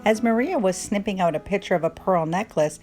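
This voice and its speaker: A woman narrating a children's storybook aloud in an expressive, measured way. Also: medium pitch. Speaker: children's book reader. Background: plucked guitar music.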